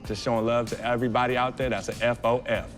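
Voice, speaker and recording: A man from the United States, an actor introducing himself and giving a shout-out to viewers. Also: medium pitch, flat delivery, fast. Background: music.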